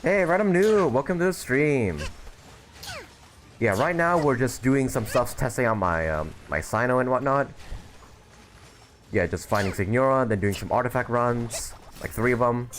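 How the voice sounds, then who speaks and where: A man's voice: mid-range voice, lively intonation, normal speed, a little hiss or hum. A man live streaming a video game, giving casual, rambling commentary as he thinks aloud.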